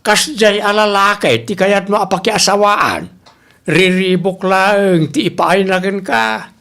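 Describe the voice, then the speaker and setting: A man's voice: sits high, takes it slowly, vocal fry, bright, forceful tone, heavily acted. A man playing a stern, worried father in an amateur audio drama, scolding and admonishing in an Ilocano accent. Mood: stern warning. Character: stern, worried, nagging father of a grown daughter.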